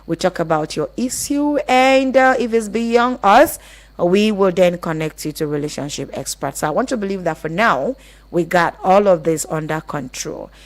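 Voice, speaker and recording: Low-voiced Nigerian woman hosting radio. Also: animated, moderate pace. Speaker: radio relationship show host. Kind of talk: live call-in radio presenting.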